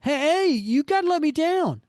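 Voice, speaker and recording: A man puts on a cowardly small-time comic-book crook, pitched well up and whining. He stammers and pleads like someone who always claims to be innocent. The delivery swings widely in a dry, close recording. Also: sing-song, normal speed, darkish tone, no echo. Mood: panicked pleading.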